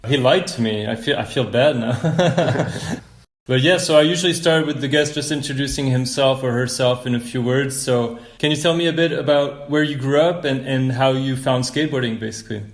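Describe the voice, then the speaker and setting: A man's voice: mid-range voice, moderate pace. A man hosting a podcast, reading a scripted intro and then chatting with short, encouraging replies. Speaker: podcast host.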